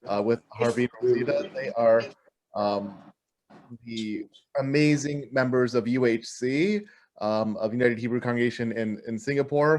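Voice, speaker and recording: A man hosting a video-call conference session, introducing the guests in a rambling way with many fillers. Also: mid-range voice. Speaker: conference host. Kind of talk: casual introduction.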